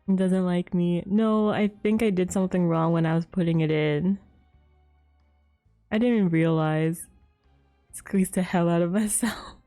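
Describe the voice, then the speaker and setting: Unhurried, mellow woman live-streaming. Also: fairly low pitch, faint music behind. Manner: bubbly greetings, giggles often. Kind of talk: casual gaming livestream chat with viewers.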